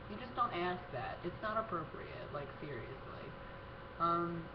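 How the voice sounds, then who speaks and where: A man's voice: on the high side, a little slow. A young man with a bad cold, rambling casually in a video diary with lots of fillers. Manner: rambling, heavy on "you know" and "um" fillers. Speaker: vlogger. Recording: dull lo-fi audio with steady hiss.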